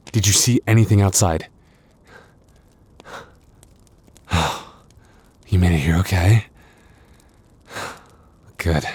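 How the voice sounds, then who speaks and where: Wounded male werewolf boyfriend, played by a man, boyfriend ASMR. Low-pitched, unhurried, exhausted, halting and tenderly reassuring. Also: no echo. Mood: anxious. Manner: halting, sighing, intimate delivery. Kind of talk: boyfriend ASMR audio roleplay.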